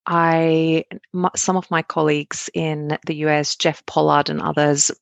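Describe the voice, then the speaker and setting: A woman's voice: low voice, a little slow, vocal fry, no echo. A woman in her forties, a researcher answering interview questions in a candid, storytelling way. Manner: candid, animated storytelling with asides. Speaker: guest, cybersecurity researcher.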